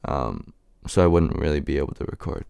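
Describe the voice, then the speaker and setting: Young male rapper, deep raspy voice, hesitant and filler-heavy, dry close recording. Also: expressive, a little mellow, no echo. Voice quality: raspy and deep right now. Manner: halting, many "um" and "like" fillers. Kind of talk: casual interview answers.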